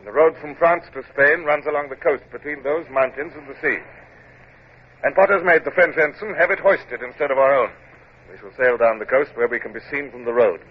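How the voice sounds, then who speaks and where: A muffled, faintly noisy vintage radio drama recording carries a stern British naval captain, played by a male actor. He speaks in a clipped, formal, commanding manner at an ordinary male pitch. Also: fairly quick, lightly acted. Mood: crisp, composed. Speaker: lead actor and first-person narrator. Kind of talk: radio drama acting with narration.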